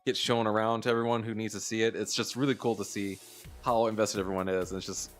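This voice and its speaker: Co-host guy talking fast, lowish voice, eager, bit of music behind. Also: fairly even intonation. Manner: quick, eager, loose phrasing. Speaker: livestream co-host. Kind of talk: casual livestream chat.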